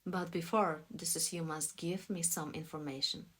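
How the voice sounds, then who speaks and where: A woman voicing a measured, persistent man who asks probing questions in an audiobook. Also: lowish voice, fairly even intonation, a bit bright, breathy edge.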